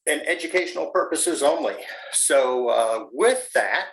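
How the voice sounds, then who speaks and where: A man's voice: fairly high pitch, animated, unhurried, fairly bright tone, poor audio. A man from the USA hosting a casual interview on his channel, friendly and upbeat, with lots of fillers. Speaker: YouTube channel host. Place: home in the mountains.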